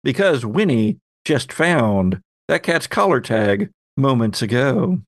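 A man's voice: mid-range voice, sing-song, takes it slowly, no echo, clean studio sound. A man acting as host and game master, narrating a tabletop horror game podcast.

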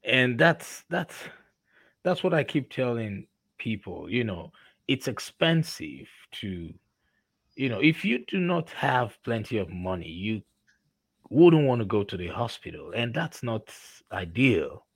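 A man hosting an interview podcast, affirming his guest and asking questions deliberately. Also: medium pitch, very animated, gravelly, breathy edge, no echo. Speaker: podcast host. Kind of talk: conversational interview.

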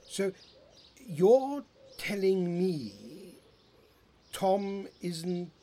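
A woman as a blunt British dad, swooping pitch. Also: sing-song, drawn-out words, warm tone, full character voice. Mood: grumbling. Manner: blustering, incredulous questioning. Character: blunt, baffled, old-fashioned British father. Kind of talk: audio play acting.

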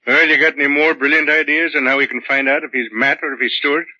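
An actor playing a cynical, weary police detective with a blunt, sardonic manner in a 1940s radio drama. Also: male voice, a little high, flat delivery, fast talker, bright, forceful tone. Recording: dull lo-fi 1940s radio recording.

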